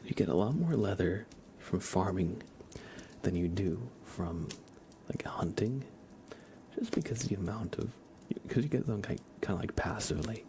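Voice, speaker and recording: A low-voiced man talks in a hushed, half-whispered ASMR style, as if hosting a laid-back livestream. His speech rambles casually with small hesitations, over clearly audible ambient noise. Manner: hushed, often slipping into whispers. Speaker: livestream host. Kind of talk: soft-spoken ASMR livestream, casual chat.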